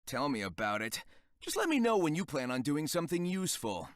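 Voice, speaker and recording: An actor playing a cocky young hero in a video game, casual, teasing and sarcastic. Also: male voice, a little high, big pitch swings, fairly quick, dry recording, studio quality. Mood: wry. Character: cocky, wry young male hero, laid-back and teasing. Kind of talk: video game cutscene acting.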